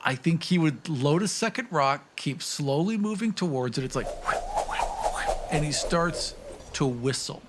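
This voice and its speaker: A man's voice: on the high side, lively intonation, unhurried, very noisy. A man playing a tabletop game, casually improvising his descriptions as he thinks aloud.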